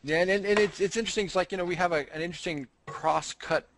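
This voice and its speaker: Fast-talking man, restart-prone. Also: sits high, noisy background. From United States. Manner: rambling, many restarts, joking. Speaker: host. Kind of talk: casual group video call chat.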